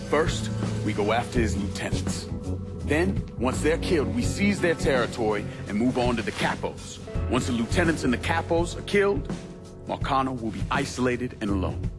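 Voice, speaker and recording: An actor playing a hardened veteran turned crime boss in a video game, with blunt, profane authority. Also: male voice, medium pitch, close to an everyday voice. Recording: music behind the dialogue.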